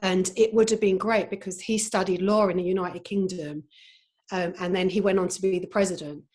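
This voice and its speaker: A woman's voice: on the low side, steady tone, a little fast, a little mellow, slight background noise. A woman speaking at a conference, hesitant and reflective, with frequent "I mean" fillers. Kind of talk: reflective conversation.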